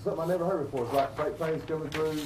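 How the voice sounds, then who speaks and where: A man's voice: a little high, rapid-fire, noisy background. A man, a store owner, giving an eyewitness soundbite for a news report. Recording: chatter over the voice.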